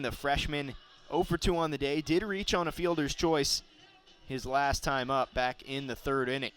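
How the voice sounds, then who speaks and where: A man's voice: medium pitch, a bit bright, clean tone. A young man calling baseball play-by-play for radio. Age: young adult. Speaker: play-by-play announcer.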